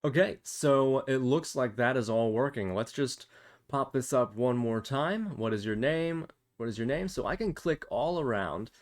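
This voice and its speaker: A man's voice: medium pitch, animated, unhurried. A man presenting a casual step-by-step programming tutorial, explaining and thinking aloud as he demonstrates.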